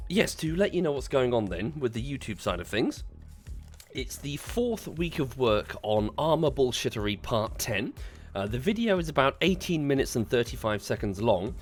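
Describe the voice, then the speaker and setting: A man streaming, hoarse and congested after a chest infection, greeting chat politely and pausing to cough. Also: mid-range voice. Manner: polite, chatty, pauses to cough. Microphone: desk microphone.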